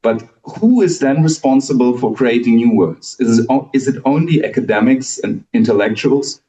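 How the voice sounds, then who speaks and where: A man's voice: medium pitch, moderate pace, mellow, echoey room, far from the mic, rough recording. A man in the audience asking a thoughtful, hesitant question after a lecture.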